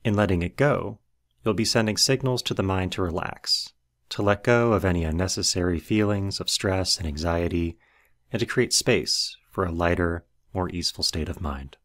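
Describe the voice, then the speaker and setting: A man leading a guided meditation as scripted narration, with measured, instructional delivery. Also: low voice, fairly bright tone.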